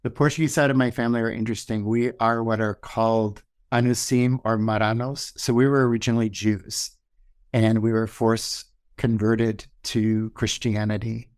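A man from Hawaii telling his family history as a podcast guest, in a conversational, measured way with occasional ums. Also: lowish voice, flat delivery, no echo. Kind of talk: personal storytelling.

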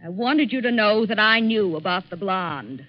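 A woman's voice: mid-range voice, lively intonation, moderate pace, natural voice. An actress playing a jilted woman coldly bent on revenge, controlled and bitter, in 1940s radio suspense style. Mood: hurt, accusing. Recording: dull, band-limited 1940s radio recording.

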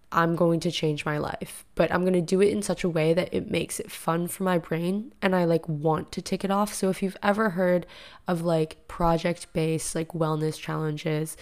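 A young woman talks through her own solo podcast from her living room, chatty and full of fillers. Her pitch sits on the low side, and her voice is raspy and a touch deeper from just having woken up. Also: darkish tone. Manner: chatty, rambling, filler-heavy. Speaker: podcast host. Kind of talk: casual solo podcast monologue.

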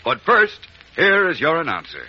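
A man narrating a serial as announcer in dramatic 1940s radio style, with a husky edge. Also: a little high, huge pitch swings, moderate pace. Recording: muffled old radio recording.

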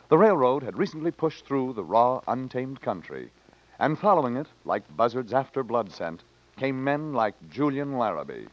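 A man's voice: mid-range voice, dry recording, natural voice. A man narrating a western adventure in a grand, dramatic old-time radio announcer style. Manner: grand, theatrical. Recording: muffled old radio recording.

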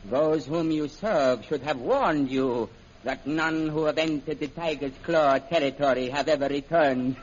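Casting: menacing royal captor, fairly high voice, formal and commanding, melodramatic old radio villain. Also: male voice, a little slow. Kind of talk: 1930s radio serial acting. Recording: muffled, noisy old radio recording.

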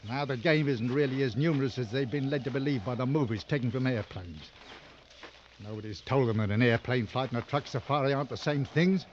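An actor in an old radio drama playing a weary, sardonic big game hunter with a gruff, brooding manner. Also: male voice, medium pitch, fairly quick, natural voice. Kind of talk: 1940s-60s radio drama acting. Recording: dull, noisy old radio recording.

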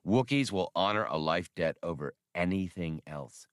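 Guy reading an audiobook, very lively intonation, dry close recording, dramatic storytelling. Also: low-pitched, normal speed, clean tone, no room sound. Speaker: audiobook reader. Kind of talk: scripted dramatic fiction narration.

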